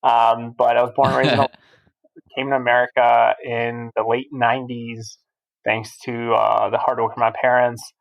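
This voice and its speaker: A man in his thirties from Albania, a guest on a podcast, who talks through his life in a casual, steady way. His pitch is middling and his intonation stays level with little rise and fall. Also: moderate pace, vocal fry, low-quality recording. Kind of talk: casual interview chat.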